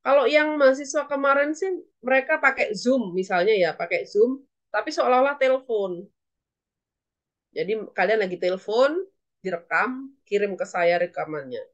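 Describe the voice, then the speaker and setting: A woman's voice: a little high, normal speed. A woman with an Indonesian accent teaching an online English class as lecturer, instructively repeating and translating example phrases.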